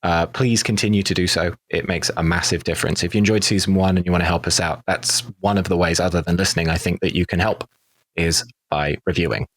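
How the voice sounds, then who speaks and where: Lowish-voiced man, casual and dry-sounding. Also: steady tone, fast, dry recording. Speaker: podcast cast member. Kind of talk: casual chat.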